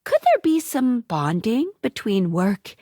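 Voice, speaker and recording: High, perky female game guide. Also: wildly swooping pitch, takes it slowly, warm tone, dry recording, clean studio sound, heavily acted. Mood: helpful, chipper. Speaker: video game voice actor. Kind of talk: dating sim game dialogue.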